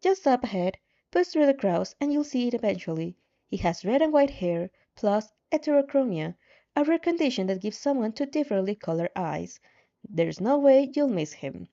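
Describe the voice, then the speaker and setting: A woman's voice: a little high, big pitch swings, normal speed, mellow, lo-fi sound. A woman voicing a bossy, pushy system guide in a story, commanding and prodding.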